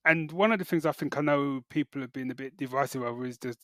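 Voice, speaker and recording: A man's voice: medium pitch, fast, sharp tone, dry recording, clean studio sound. A man co-hosting a podcast, giving casual, opinionated reviews in colloquial speech peppered with filler phrases. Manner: colloquial, opinionated, peppers talk with "you know" and "like". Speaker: podcast co-host.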